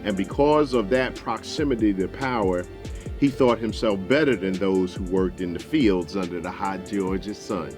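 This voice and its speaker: A low-voiced American man tells a story to his audience. His delivery is deliberate and folksy, with a dramatic storyteller's touch. Faint music plays behind him. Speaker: storyteller, show host.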